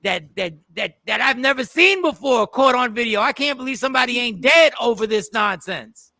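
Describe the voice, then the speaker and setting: A man's voice: very high-pitched, lively intonation, normal speed, bright, forceful tone, dry recording. A man hosting a morning talk show, teasing his co-hosts with mock courtroom questions. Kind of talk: casual radio show banter.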